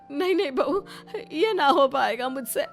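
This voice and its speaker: Lady doing an older saas in a family story, all wounded drama n plaintive, highish voice, music under. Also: expressive, slow pace, gravelly, fairly bright tone, heavily acted. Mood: tearful, hesitant. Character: older mother-in-law, tearful, ashamed, secretly bald. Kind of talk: melodramatic Hindi family story acting.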